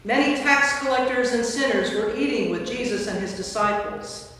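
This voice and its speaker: Female narrator, measured storytelling for a staged scripture reading. Very reverberant church, distant mic. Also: fairly high pitch, fairly bright tone. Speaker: narrator, Episcopal priest. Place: large church interior.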